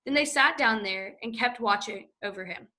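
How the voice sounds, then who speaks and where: A woman narrating a scripture passage in church, reading in a steady, clear way. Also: fairly high pitch, moderate pace. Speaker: scripture reader.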